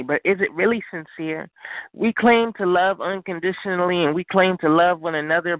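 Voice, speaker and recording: A man's voice: a little high, no room sound. A man calling in to recite a spoken word poem with rhythmic wordplay, heard over a phone line. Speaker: call-in poet. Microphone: phone call.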